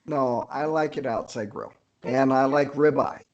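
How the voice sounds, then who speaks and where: A middle-aged or older man, an author guest chatting casually, unhurried and a little hesitant, with good humor. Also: mid-range voice, dull audio, a little hiss or hum. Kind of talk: casual interview chat.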